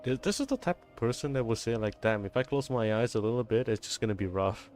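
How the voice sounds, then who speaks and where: A young male game streamer with a mid-pitched voice. He reacts casually and jokingly in slangy, sweary, stammering talk, with faint music behind him. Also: expressive, fast talker, darkish tone.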